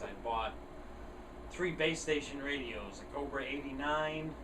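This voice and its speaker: Guy doing a casual show and tell vlog, echoey room, ac humming loud behind him, kinda rambling and chatty. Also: a little high. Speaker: hobbyist video host. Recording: roomy, distant mic, clear air-conditioner noise. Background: air conditioner running.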